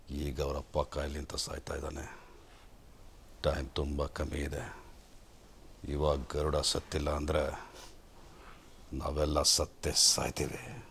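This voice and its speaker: A man playing a grim, menacing gangster villain from a film, with measured, weighty delivery. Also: very deep voice, a bit bright, a little hiss or hum, heavily acted.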